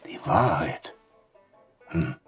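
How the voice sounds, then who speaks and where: Doubting barkeeper, husky, lively intonation, dryly teasing, audio drama over faint music. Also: male voice, very deep voice, drawn-out words, exaggerated voice. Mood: dry, musing. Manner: dry, teasing. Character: sceptical, droll barkeeper. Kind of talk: German audio drama acting.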